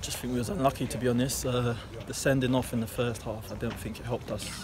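A man's voice: mid-range voice, fairly even intonation, a crowd in the background. A young man with an English accent, a football player answering post-match questions casually outdoors at night. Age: young adult. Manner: casual, quick, lots of fillers.

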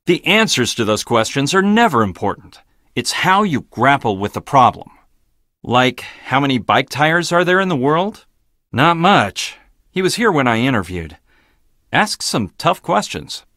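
An actor playing an arrogant, blunt, logical research scientist in a video game, with a clipped, dismissive, pedantic delivery. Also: male voice, medium pitch, sing-song, measured pace, bright, forceful tone, dry recording, clean studio sound. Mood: dry, matter-of-fact.

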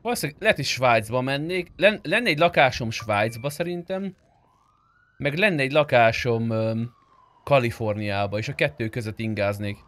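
A man's voice: medium pitch, expressive, fairly bright tone. A man streaming a roleplay game, talking casually and argumentatively in character, with some swearing.

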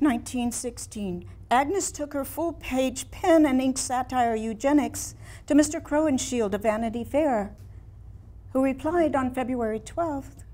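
A woman in her sixties playing an ailing, reflective elderly woman painter in a staged dramatic monologue, with theatrical, measured delivery and long pauses. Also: on the high side, darkish tone. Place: small indoor room before a seated audience.

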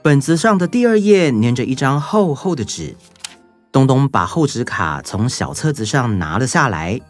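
A storyteller narrates for children in a lively, varied voice of medium pitch. Soft music plays underneath. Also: moderate pace.

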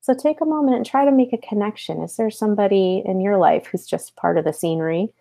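A woman's voice: medium pitch, quick pace, creaky, warm tone. An American woman in her thirties teaching a read-aloud lesson, explaining things and checking in with questions. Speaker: elementary school teacher. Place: small home office, indoors.

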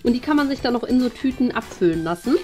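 A young woman with a high voice talks casually as a vlog host. She explains things as she goes in a kitchen, with music playing behind her. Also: lively intonation, fast, darkish tone. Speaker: host of a crafts and party vlog. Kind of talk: casual vlog narration and chat. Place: indoor studio kitchen. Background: background music.

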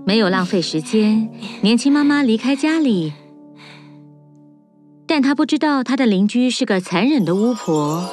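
A woman's voice: on the high side, very animated, moderate pace, darkish tone. A woman reading scripted fairy-tale narration for children as a storyteller. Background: music.

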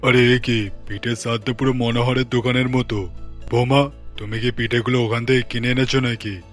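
A man's voice: medium pitch, level tone, brisk, fairly bright tone, faint music behind, voice pushed down, natural voice. A performer voicing a blunt elderly uncle, a man who is the family elder in a cartoon story. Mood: surprised, suspicious.

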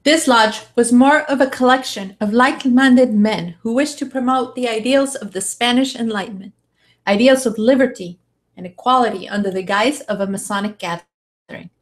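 A woman's voice: fairly high pitch, normal speed. A woman in her thirties reading a scripted narration aloud into a studio microphone indoors. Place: small room, indoors. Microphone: studio condenser mic with pop filter.

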